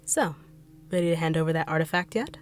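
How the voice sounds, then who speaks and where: A treacherous bodyguard turned villain, mocking and cold, her lowish voice dropping to quiet threats, as a woman plays her in an audio drama. Faint music plays underneath. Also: fast talker, close to an everyday voice. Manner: taunting, cold, sneering with quiet menace. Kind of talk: audio roleplay acting.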